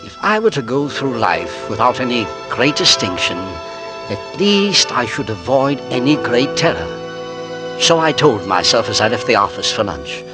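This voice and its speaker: An actor playing a polite, nervous husband who narrates a 1940s radio drama. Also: male voice, medium pitch, lightly acted. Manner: genteel, polite, nervously hesitant. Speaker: narrator and lead. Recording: old radio broadcast with music.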